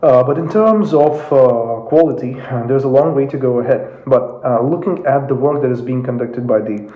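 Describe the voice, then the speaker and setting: A man's voice: mid-range voice, normal speed. Hesitant man with a Russian-native lilt. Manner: hesitant, many fillers and restarts. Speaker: YouTube commentator. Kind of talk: casual talk to camera. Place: home office. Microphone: no dedicated microphone. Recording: roomy, dull lo-fi sound.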